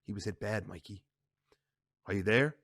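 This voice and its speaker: A man voicing a gruff, hot-headed older brother in a crime family. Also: fairly low pitch, normal speed, a bit bright, no echo, clean studio sound, voice pushed down. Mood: grim.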